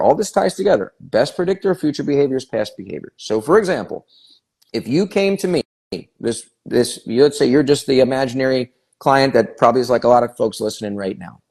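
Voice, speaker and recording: A man's voice: medium pitch, a little mellow. A man speaking as a fitness trainer on a podcast, in a blunt, no-nonsense coaching tone. His delivery is emphatic and casual, and he leans on his points hard.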